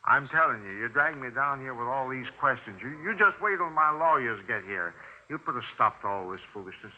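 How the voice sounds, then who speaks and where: An American actor playing a sullen, indignant landlord, blustering and defensive, in a 1950s radio drama. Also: male voice, medium pitch, animated, brisk, natural voice. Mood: threatening. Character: sullen, indignant male landlord under questioning. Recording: muffled, hissy 1950s radio recording.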